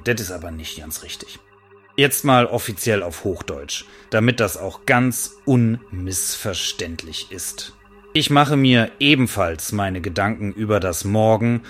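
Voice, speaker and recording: A man's voice: sits low, fairly bright tone. A man playing a brash, cheeky working-class housewife in a radio play, chatting in slangy Berlin dialect with mock-formal turns. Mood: self-assured. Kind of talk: comic radio play monologue, addressing listeners.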